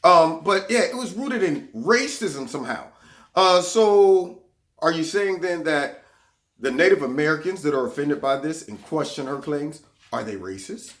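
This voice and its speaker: A man's voice: fairly high pitch, expressive, unhurried, a bit bright. A man hosting his own political commentary vlog, talking sarcastically and repeating words when he gets heated. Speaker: vlog host.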